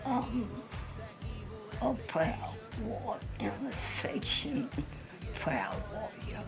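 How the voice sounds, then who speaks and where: A woman in her nineties who speaks very slowly and hesitantly, her voice sitting a little high. Loud music runs beneath her answers. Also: very animated, gravelly. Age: 90s. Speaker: neighborhood resident. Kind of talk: interview answers. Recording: music bed under voice.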